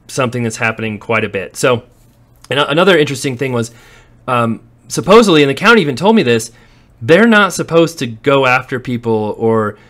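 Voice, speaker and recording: A man's voice: mid-range voice, a bit bright. Chatty man narrating a property walkthrough. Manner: chatty, storytelling, occasional laughs. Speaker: real estate investor and landlord. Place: walking through empty warehouses and outdoors.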